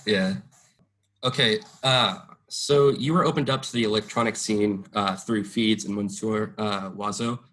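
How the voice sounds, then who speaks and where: A man's voice: lowish voice, fairly even intonation, unhurried, fairly bright tone. A young man interviewing a musician for student radio, asking questions hesitantly with frequent uhs and restarts.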